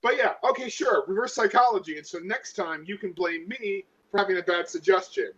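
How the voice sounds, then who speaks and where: A woman's voice: mid-range voice, a little fast, a bit bright, husky. A woman bantering sarcastically as a player in a tabletop role-playing game, on a lo-fi microphone. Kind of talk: casual banter.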